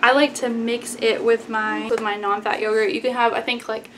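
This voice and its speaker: A woman's voice: a little high, level tone, moderate pace, fairly bright tone. A woman in her twenties from Texas with an American accent, chatting casually and informally to camera as a vlogger, with frequent "like" and "y'all". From Texas, United States. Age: 20s. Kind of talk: casual grocery haul chat to camera. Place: small plain indoor room. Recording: clearly noisy room background.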